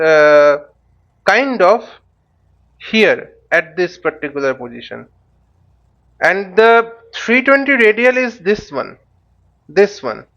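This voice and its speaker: A man giving an unscripted step-by-step tutorial as an instructor, halting and thinking aloud, often posing questions and answering them himself. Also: high-pitched, fairly bright tone, lo-fi sound. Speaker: flight simulator instructor. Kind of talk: unscripted tutorial with live demonstration. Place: voice-over recorded while using a flight simulator.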